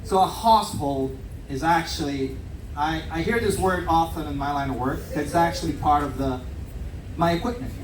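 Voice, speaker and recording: A man whose first language is Portuguese, bluffing a definition in a flustered, halting way on a stage game show, laughing at himself as he goes. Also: a little high, background noise. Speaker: cruise staff contestant. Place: large ship theater with live audience. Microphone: handheld mic held by someone else. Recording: echoey theater picked up from far off. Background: audience laughter and chatter.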